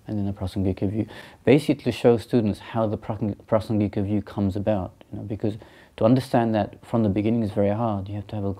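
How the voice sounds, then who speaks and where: Low, mellow-voiced monk, thoughtful and halting. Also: male voice. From Sri Lanka, raised in England. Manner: thoughtful, hesitant with many ums and restarts. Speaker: Buddhist monk and teacher. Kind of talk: interview answers. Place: small indoor room by a shrine.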